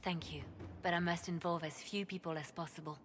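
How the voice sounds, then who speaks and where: A woman playing a cool, calculating spymaster in a fantasy game, measured and intent. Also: on the low side, steady tone, natural voice. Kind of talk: fantasy video game acting. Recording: noisy echoing hall.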